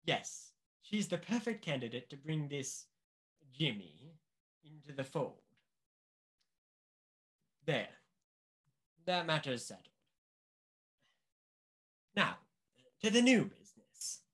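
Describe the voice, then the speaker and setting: An actor playing a pompous, anxious upper-class government official in a mystery radio play, speaking deliberately and emphatically. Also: male voice, on the high side, very animated, a bit bright, exaggerated voice. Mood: persuasive, smug.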